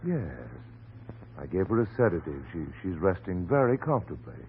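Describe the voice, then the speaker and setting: Reassuring doctor, low animated voice. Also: male voice, a little fast. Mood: soothing, firm. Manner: earnest, firm, placating. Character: steady, reassuring male family doctor. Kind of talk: old-time radio horror drama acting. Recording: very muffled, noisy old radio recording.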